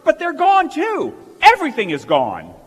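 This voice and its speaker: A man's voice: very high-pitched, huge pitch swings, normal speed, heavily acted. An actor playing a bewildered, agitated family man in a stage radio play, insistent and stammering. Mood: distraught. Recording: reverberant hall stage recording.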